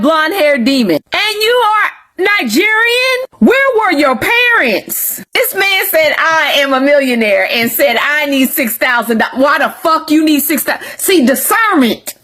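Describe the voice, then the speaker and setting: High, lively, husky-voiced woman scolding. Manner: blunt, scolding, profane, laughing. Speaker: commentator. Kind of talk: reaction commentary video.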